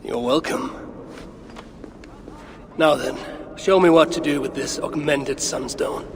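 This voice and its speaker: An actor playing a commanding one-eyed god-warrior in a video game, curt, imperious and dryly mocking. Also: male voice, mid-range voice, slow, a little hiss or hum. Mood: stern, dry. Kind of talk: video game cutscene acting.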